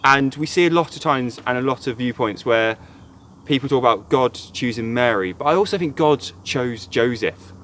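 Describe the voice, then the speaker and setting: A man's voice: mid-range voice, moderate pace, fairly bright tone, a little hiss or hum. A man, a church youth worker, gives an earnest, reflective devotional talk retelling a Bible story.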